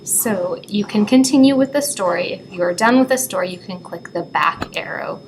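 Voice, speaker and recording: A woman's voice: fairly low pitch, animated, moderate pace, gravelly, slight background noise, low-quality recording. A woman giving step-by-step instructions in a walkthrough review of an educational website. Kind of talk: instructional screen-recorded walkthrough. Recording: background chatter behind the voice.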